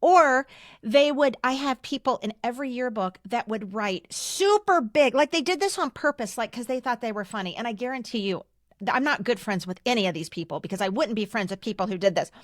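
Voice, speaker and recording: Middle-aged woman, high pitch, emphatic, blunt, opinionated, casual podcast chat, dry recording. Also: animated, fairly quick, dry recording. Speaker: podcast co-host.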